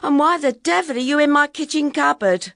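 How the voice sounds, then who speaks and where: A woman's voice: sits high, animated, fairly quick, creaky, fairly bright tone, dry recording, clean studio sound, heavily acted. A woman playing a harried, sarcastic suburban housewife in a comic radio advert, theatrically exasperated. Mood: indignant.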